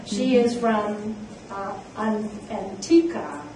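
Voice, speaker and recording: A woman's voice: mid-range voice, slow pace, dark tone, slight background noise. A woman presenting at a conference, speaking earnestly with frequent fillers. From immigrant. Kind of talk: conference talk. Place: conference room. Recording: echoey room, mic from far off.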